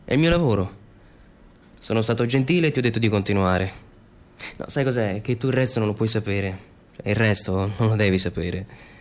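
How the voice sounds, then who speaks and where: A man's voice: lowish voice, fairly quick, long pauses, close to an everyday voice. An actor playing a blunt, guarded male escort in a radio drama, with a terse, matter-of-fact delivery. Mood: blunt, annoyed. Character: blunt, guarded male escort humouring his talkative client. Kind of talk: naturalistic radio drama dialogue.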